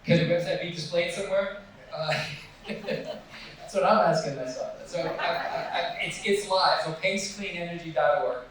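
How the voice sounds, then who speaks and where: A man's voice: a little high, moderate pace, a bit bright. A man presenting his nonprofit's tool and answering audience questions in a conversational, restarting manner. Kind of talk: public Q&A presentation. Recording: echoey hall from far off.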